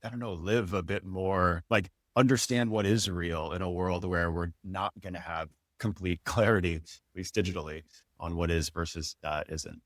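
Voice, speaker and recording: A man's voice: sits low, steady tone, normal speed, no room sound, clean studio sound. A man hosting an interview in a casual, conversational style, with thoughtful, hedged phrasing. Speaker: interview host.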